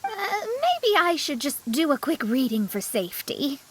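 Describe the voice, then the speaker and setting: A woman's voice: high voice, huge pitch swings, measured pace, a bit bright, a little hiss or hum, full character voice, poor audio. A woman playing a proud young astrologer mage, theatrical and easily flustered, in an anime game. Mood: uneasy.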